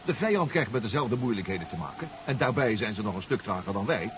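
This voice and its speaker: An actor playing a calm, formal professor who pilots a submarine in a 1970s radio drama, measured and reassuring. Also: male voice, fairly low pitch, natural voice. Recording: muffled old radio recording with clear noise.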